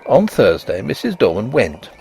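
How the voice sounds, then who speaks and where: A man narrating a Victorian ghost story as scripted reading, with an expressive, literary delivery. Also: mid-range voice, moderate pace, gravelly, soft background music.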